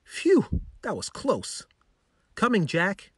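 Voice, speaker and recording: A man's voice: high voice, wildly swooping pitch, slow, a bit bright, slightly rough, no room sound, studio quality, voice pushed up, heavily acted. A man voicing an eager, kind-hearted young male pet dog in a children's story, earnest and exclamatory. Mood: excited, startled.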